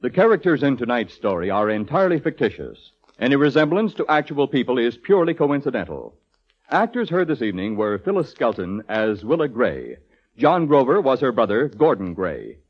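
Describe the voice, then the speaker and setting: A man's voice: mid-range voice, big pitch swings, normal speed. An American man reading scripted credits as a formal, polished 1940s radio announcer. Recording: dull vintage radio broadcast recording.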